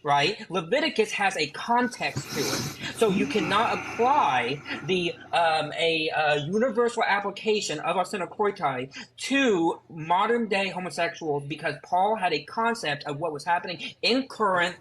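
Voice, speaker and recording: A man's voice: high voice, lively intonation, normal speed, hardly any pauses, fairly bright tone, a little hiss or hum, poor audio. A man in an informal debate, explaining and correcting in an emphatic, didactic way, repeating his key points. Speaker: debate participant correcting others.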